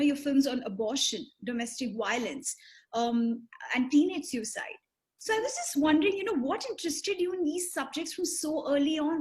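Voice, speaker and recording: A woman hosting a radio interview, with a high, bright-sounding pitch. She asks gentle, curious questions and listens with soft murmurs. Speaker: radio host.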